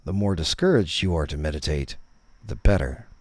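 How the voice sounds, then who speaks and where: A man narrating a scripted parody guided meditation, giving instructions in a deadpan, condescending manner. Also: very deep voice, moderate pace, no echo.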